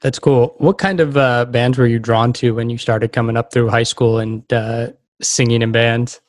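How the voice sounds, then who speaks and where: An American man interviews a guest in a casual, easygoing way. His voice is mid-pitched, and he gives short affirmations before asking his questions. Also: steady tone, normal speed. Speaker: podcast host, interviewer.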